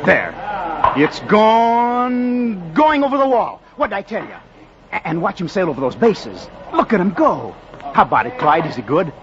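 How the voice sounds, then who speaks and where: An actor playing an eager, persuasive baseball scout in a 1940s radio drama. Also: male voice, sits high, sing-song, measured pace, full character voice. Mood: excited. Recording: muffled old radio, outdoor crowd bed.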